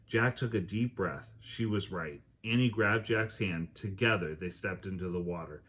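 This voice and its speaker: A man reading a children's chapter book aloud as an expressive storyteller who acts out the dialogue. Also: low-pitched, normal speed, a little hiss or hum. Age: adult.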